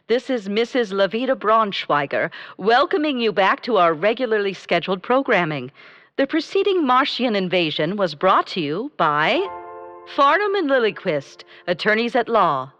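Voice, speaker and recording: A woman playing a formal, prim radio announcer reading sponsor notices in a comedic 1930s radio style, in measured phrases. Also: mid-range voice, fairly bright tone. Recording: dull lo-fi radio sound, music behind.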